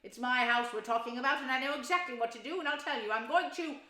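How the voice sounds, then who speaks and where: A woman voicing a boastful, excitable male toad in a loud, shrill, bragging way. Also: normal speed. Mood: indignant. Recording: roomy echo.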